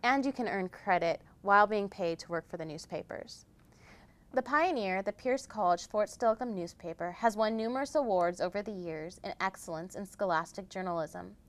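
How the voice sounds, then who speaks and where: A woman's voice: medium pitch, moderate pace. A young woman, a student newspaper editor, giving a scripted promotional presentation. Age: young adult.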